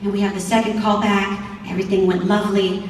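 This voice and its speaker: A woman voice actor telling a casual, animated anecdote as a panel guest on a stage in a large hall. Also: mid-range voice, quick pace. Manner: animated storyteller quoting others. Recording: echoey noisy hall from far off.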